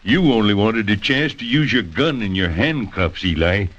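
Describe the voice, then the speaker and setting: A man's voice: fairly low pitch, animated, moderate pace, fairly bright tone. An actor playing a dry, teasing older country man with a rural American accent in an old-time radio drama. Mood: dryly approving. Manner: dry, folksy. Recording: dull, lo-fi old radio recording.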